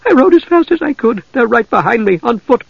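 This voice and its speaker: Frightened young farm girl in an audiobook, urgent and gasping, high pitched, read by a man. Dull, dry recording. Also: animated, fairly quick, vocal fry, breathy edge, no room sound, exaggerated voice. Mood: desperate.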